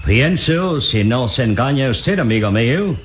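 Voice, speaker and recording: An actor playing a tall, gaunt North American adventurer captain in a radio drama, speaking in a measured, doubting way. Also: male voice, mid-range voice, lively intonation, a little hiss or hum, lightly acted. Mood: doubtful.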